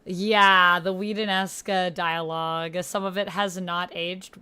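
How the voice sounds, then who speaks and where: A woman's voice: on the low side, fairly even intonation, takes it slowly, pushed and bright, clean tone. A woman hosting a tabletop roleplay livestream, chatting casually and thinking aloud. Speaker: livestream host and tabletop player. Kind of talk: casual livestream chat, reading out typed roleplay.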